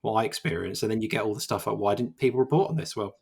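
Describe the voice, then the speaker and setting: A man's voice: lowish voice, very fast, vocal fry, slightly rough. A man co-hosting a video game podcast, chatting casually and rambling with many restarts. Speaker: podcast co-host. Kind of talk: casual chat.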